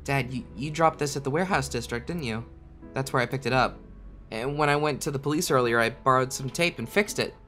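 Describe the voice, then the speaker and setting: A woman's voice: rumbling low, brisk, a bit bright. A woman playing an earnest, loving young schoolboy in an anime game fandub. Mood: proud. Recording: light music behind.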